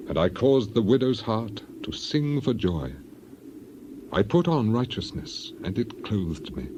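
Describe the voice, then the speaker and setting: A man's voice: fairly low pitch, dull audio, noisy background, lightly acted. A man voicing a grieving, dignified biblical elder in a solemn, lamenting, declamatory dramatized reading.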